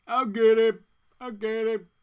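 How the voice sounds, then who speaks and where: A man's voice: very high voice, flat delivery, unhurried, darkish tone, clean tone, muffled recording, voice pushed up. A man voicing an embattled, defiant superhero from a comic book in a gritty, urgent, dramatic manner. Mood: desperate.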